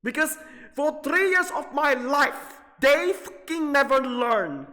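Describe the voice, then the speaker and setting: A man with a very high-pitched voice rants off the cuff like an agitated gaming video creator. He is profane and repetitive, stretching words for emphasis in halting bursts. The sound has a long, hall-like reverb. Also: animated, sharp tone. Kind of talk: angry off-the-cuff rant about video games.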